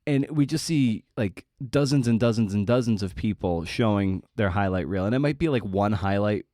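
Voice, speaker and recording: A man's voice: on the low side, normal speed, warm tone, dry recording, studio quality. A middle-aged man hosting a podcast, talking casually and confessionally in a self-deprecating, rambling, sweary way. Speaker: podcast host.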